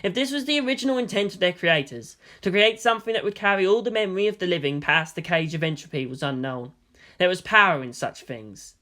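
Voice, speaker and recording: Story narrator, sharp forceful tone, solemn, portentous, deliberate phrasing, dramatic scripted reading. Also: medium pitch, expressive. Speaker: fan fiction narrator.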